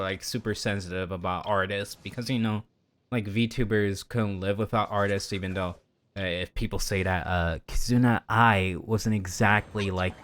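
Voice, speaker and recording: A man's voice: lowish voice, fairly bright tone. A man livestreaming in a casual chat over a game, rambling through his opinions and often repeating himself. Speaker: VTuber livestreamer.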